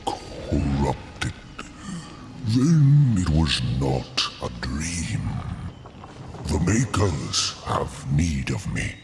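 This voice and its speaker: An ancient stone warden in a fantasy video game. The deep, gravelly voice moves ponderously, with big swings in pitch and a weary gentleness. Music plays clearly behind it. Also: male voice, very animated, full character voice. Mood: weary, dreamy. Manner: ponderous, halting speech.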